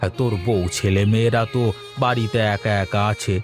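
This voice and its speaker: A man's voice: on the low side, steady tone, normal speed, faint music behind, close to an everyday voice. A man playing a supportive village friend in a cartoon, firmly coaxing with repeated urgings. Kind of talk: animated cartoon dialogue.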